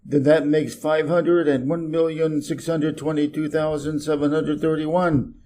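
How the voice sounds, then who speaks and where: A man's voice: fairly high pitch, flat delivery, unhurried, dark tone, slight background noise, close to an everyday voice. A man voicing a busy, self-important, irritable middle-aged businessman from a storybook, brusque and emphatic. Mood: busy, impatient. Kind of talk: storybook character reading.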